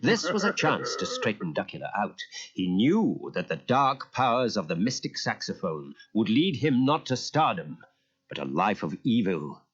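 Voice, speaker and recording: A man giving an animated narration of a children's story as a storyteller. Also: fairly low pitch, moderate pace, husky. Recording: muffled old audio cassette.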